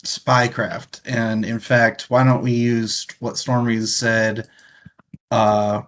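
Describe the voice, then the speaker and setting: A man's voice: medium pitch, level tone, slow, fairly bright tone. A man hosting a casual livestream book discussion, searching for words as he goes. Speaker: show host, reads viewer comments.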